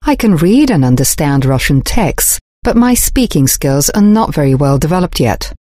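Actress as an older journalist: low, lively intonation, measured and explanatory, dry studio read. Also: female voice, no room sound. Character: older woman journalist, calm polyglot. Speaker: interviewee. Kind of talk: scripted textbook dialogue.

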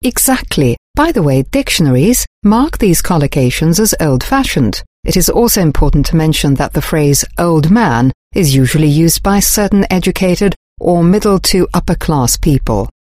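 Actress as an older journalist: low, lively intonation, measured and explanatory, dry studio read. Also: female voice, dry recording. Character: older woman journalist, calm polyglot. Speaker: interviewee. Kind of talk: scripted textbook dialogue.

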